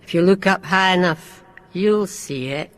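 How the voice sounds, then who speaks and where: A woman acting in a radio drama as an eerie, insistent female guide who speaks curtly and flatly. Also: on the low side, measured pace, close to an everyday voice. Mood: insistent, menacing. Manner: curt, flat, insistent. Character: Martha, terse, eerie, insistent female guide in a derelict hospital. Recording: long echoing reverb, faint background noise.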